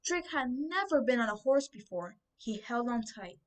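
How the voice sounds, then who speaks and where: A woman's voice: on the high side, lively intonation, moderate pace, distant mic. A twelve-year-old girl reading a children's storybook aloud as scripted narration. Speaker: book reader.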